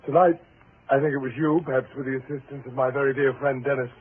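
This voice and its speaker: A man's voice: mid-range voice, fairly even intonation, natural voice. Man acting an obsessed bitter husband, tinny old radio. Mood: anguished, desperate. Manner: deliberate, theatrical, mock-polite. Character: bitter, obsessed, menacing husband, coolly taunting. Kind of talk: 1940s radio thriller acting. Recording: tinny, hissy 1940s radio recording.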